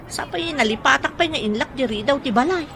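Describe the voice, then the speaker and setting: A woman's voice: high-pitched, expressive, a little fast, a crowd in the background. A woman with an Ilocano Filipino accent playing a nagging, unimpressed village wife in a comedic radio drama. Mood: complaining. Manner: complaining, critical.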